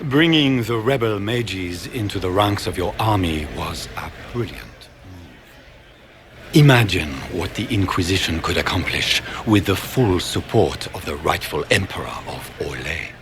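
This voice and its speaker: A man's voice: low-pitched, lively intonation, unhurried, music in the background. An actor playing a proud, scheming middle-aged nobleman in a video game, with a grandiose, courtly manner. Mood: courtly, flattering. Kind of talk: video game dramatic acting.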